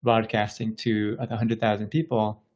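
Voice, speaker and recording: A man's voice: lowish voice, little pitch movement, normal speed, muffled recording. A man from Bangladesh speaking as an interview guest, explaining things casually and thoughtfully, often searching for his words. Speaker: tech company founder interviewed as podcast guest.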